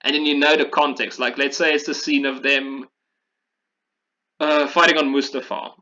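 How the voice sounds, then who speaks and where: A man's voice: mid-range voice, little pitch movement, normal speed, sharp tone, lo-fi sound. A man chatting casually and enthusiastically about video games in an informal way, as a podcast host.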